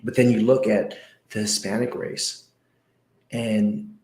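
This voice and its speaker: Male interview host, low voice, earnest and halting, in an echoey room. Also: steady tone, darkish tone. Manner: earnest, halting with many fillers. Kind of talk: conversational interview.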